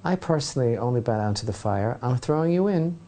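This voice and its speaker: A man's voice: mid-range voice, animated, quick pace, mellow, natural voice. A man voicing a stubborn ancient king who speaks bluntly and dismissively in a retold legend.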